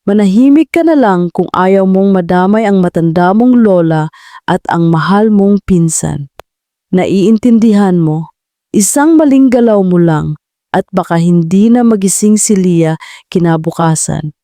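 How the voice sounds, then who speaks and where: A woman's voice: medium pitch, expressive, unhurried, warm tone, dry recording. A woman playing a threatening, mocking male corporate villain in an audio drama. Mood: cold threat. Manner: sneering, menacing. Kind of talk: Tagalog audio drama acting.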